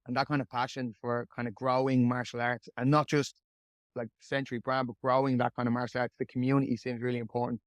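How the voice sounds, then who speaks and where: Young podcast host, flat delivery, chatty and rambling, dry sound. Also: male voice, mid-range voice, clean tone, no echo. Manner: friendly, rambling, many restarts. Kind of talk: interview hosting.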